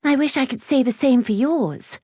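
A woman's voice: high-pitched, normal speed, darkish tone, no echo, studio quality, voice pushed up. A woman voicing a spiteful, snobbish young lady of quality in an audiobook, prim and cutting. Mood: smugly snide.

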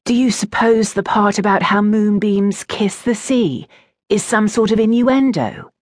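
A woman's voice: medium pitch, unhurried, darkish tone, no echo, voice pushed up, lightly acted. A woman voicing a teasing, scornful teenage schoolboy with a dry, needling manner in an audiobook.

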